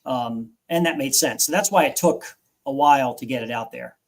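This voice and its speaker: A man's voice: mid-range voice, moderate pace. Earnest male author telling his story. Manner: earnest, reflective, frequent restarts. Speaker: guest author. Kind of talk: interview storytelling.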